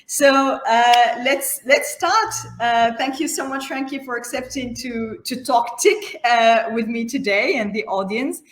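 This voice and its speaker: Woman interview host in an echoey room. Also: a little high. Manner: halting delivery with frequent hesitant fillers. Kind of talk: hosted online interview, semi-formal. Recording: roomy, echoing sound.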